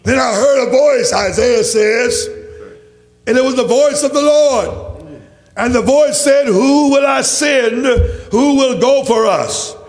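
High-pitched, impassioned male preacher in echoing hall. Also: slow. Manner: declamatory, emphatic, repetitive rhetorical phrasing. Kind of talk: impassioned church sermon. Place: large church. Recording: reverberant hall, faint music.

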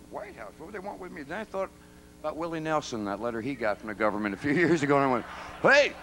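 A middle-aged country singer chatting on a large theater stage between songs, a folksy, rambling storyteller with quick chuckles, speaking into a stand mic. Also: male voice, medium pitch, rough recording. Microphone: stand mic, guitar slung. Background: live band music.

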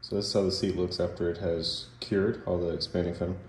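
A man's voice: deep voice, little pitch movement, brisk, slight background noise. A man doing plain, step-by-step how-to narration for his do-it-yourself repair video. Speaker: DIY repair vlogger.

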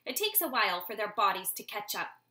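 A woman reading aloud, voicing a gentle motherly barn owl who speaks in a soft, reassuring way. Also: mid-range voice, sing-song, fairly quick, reverberant.

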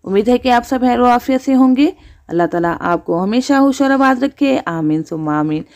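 Mellow-voiced woman narrating recipes. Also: a little high, animated, moderate pace. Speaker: cooking channel host. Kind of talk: scripted recipe voice-over narration.

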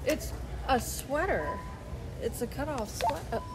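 A woman's voice: sits high, very animated, a little slow, heavy background noise. An American woman speaking casually as a shopper in a store. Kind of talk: casual spoken exchange. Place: inside a large store.

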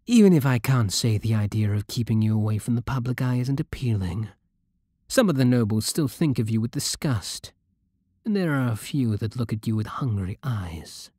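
A seductive, imperious vampire king played by a man speaks with a British accent in a soft, very breathy voice. His tone is dark and mellow, with expressive swings in pitch as he gives possessive commands. It is an intimate ASMR roleplay with a dry, close sound. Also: moderate pace, no echo. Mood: possessive, smug. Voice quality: breathy, hushed.

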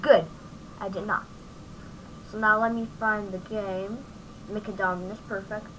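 A woman giving a casual, off-the-cuff software tutorial, thinking aloud as she demonstrates on a home microphone recording. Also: mid-range voice, fairly even intonation, a little slow, noisy background. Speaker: tutorial host.

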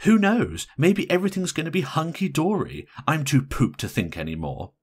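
A man's voice: fairly high pitch, huge pitch swings, moderate pace, breathy edge, pitched above the performer's own voice, heavily acted. A man voicing a brash, irritable married woman in an audiobook, blunt, emphatic and sarcastic. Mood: weary, flippant. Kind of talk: audiobook character voice.

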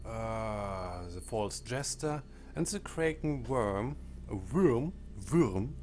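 A man whose first language is German, talking over a game menu in halting phrases that break off mid-sentence. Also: medium pitch, expressive, a little mellow. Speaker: let's play commentator. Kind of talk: game commentary with disclaimers. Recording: faint game menu music behind.

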